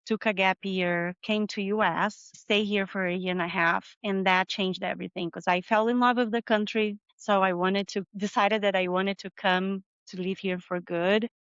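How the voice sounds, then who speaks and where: A woman's voice: medium pitch, fairly even intonation, moderate pace, few pauses, a bit bright, clear, resonant tone, no room sound, dull audio. A middle-aged woman from southern Brazil with a Brazilian Portuguese accent, answering interview questions in a friendly, reflective way with small non-native slips. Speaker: interview guest, marketing manager. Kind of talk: video interview conversation.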